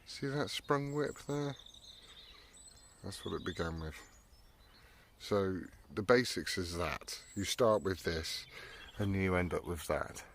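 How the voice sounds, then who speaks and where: A man's voice: low-pitched, expressive, slow pace, slight background noise. A British man chatting casually and unscripted as a hobbyist vlogger, rambling and blunt in his way of talking.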